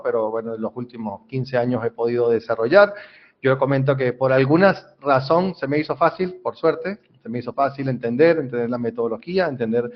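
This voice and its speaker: A man's voice: medium pitch, muffled recording. A man from Venezuela, a civil engineer explaining his field as an interview guest, with frequent restarts and fillers. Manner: halting, repeats words, many fillers.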